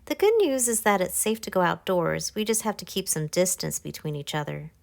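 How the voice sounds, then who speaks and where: A woman's voice: lowish voice, lively intonation, a little fast, creaky. A woman voicing a friendly, encouraging woman teacher who chats cheerily to a child in a storybook. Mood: cheerful.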